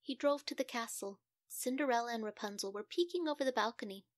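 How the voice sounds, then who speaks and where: A woman's voice: a little high, fast, no room sound, clean studio sound. A woman narrating a scripted children's story as a storyteller.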